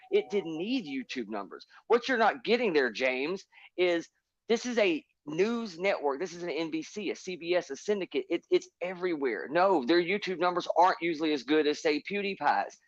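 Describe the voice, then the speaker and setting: A man's voice: high voice, expressive, normal speed, no room sound. A man on a livestream panel, delivering emphatic, profane, lecturing rants in a heated chat. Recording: dull lo-fi stream audio.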